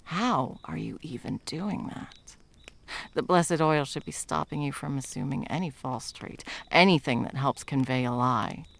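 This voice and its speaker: An intense female monster hunter, acted by a woman for an ASMR roleplay. Her voice is gravelly and creaks at the edges. She speaks with deliberate, dramatic menace and open scorn. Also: very deep voice, lively intonation, heavily acted. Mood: puzzled, probing.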